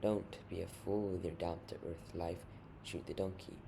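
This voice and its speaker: Man playing an urgent, gravelly frontier reverend. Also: low voice, little pitch movement, unhurried. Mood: commanding. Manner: urgent, commanding, archaic phrasing. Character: frightened, pleading, God-fearing frontier reverend. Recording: noisy amateur home recording.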